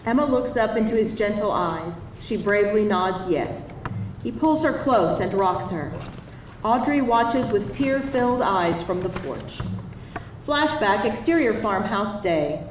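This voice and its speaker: A woman's voice: medium pitch, animated, a little slow. A woman narrator reading screenplay stage directions aloud at a live table read. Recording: echoey live hall, distant, faint noise.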